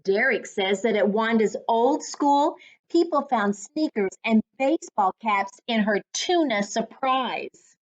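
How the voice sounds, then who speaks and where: Slow, high-voiced woman reading to children. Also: animated. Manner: deliberate, instructive teacher-like delivery. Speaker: reading coach. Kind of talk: read-aloud storytime for children. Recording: dull lo-fi sound.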